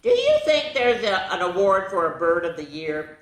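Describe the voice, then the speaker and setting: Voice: mid-range voice, wildly swooping pitch, normal speed, echoey room, pitched above the performer's own voice, low-quality recording. A reader putting on a bubbly chatterbox girl bird. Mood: chatty. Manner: bubbly, nonstop talker. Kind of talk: children's picture-book reading.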